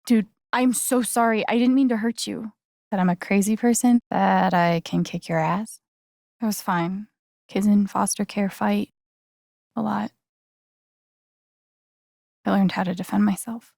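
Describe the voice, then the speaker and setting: A woman's voice: medium pitch, steady tone, unhurried, gravelly, long pauses, a little mellow, no echo, studio quality. An actress playing a guarded, wry young woman in a video game, speaking in a casual, hesitant, understated way. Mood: apologetic. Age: young adult. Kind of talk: naturalistic video game voice acting.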